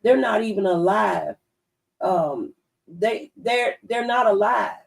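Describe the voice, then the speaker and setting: Host: gravelly-voiced woman on radio talk, emphatic testifier, a bit off-mic. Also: medium pitch, animated, slow pace, distant mic. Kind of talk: radio talk show conversation. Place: radio studio.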